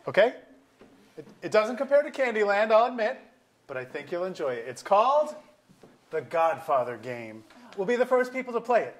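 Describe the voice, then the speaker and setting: A man acting a wry, aggrieved, out-of-work middle-aged lawyer in a staged comedy-drama, halting and self-deprecating. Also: high voice, big pitch swings. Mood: eager, coaxing. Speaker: actor in a staged reading. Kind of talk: theatrical dialogue reading. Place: museum auditorium stage.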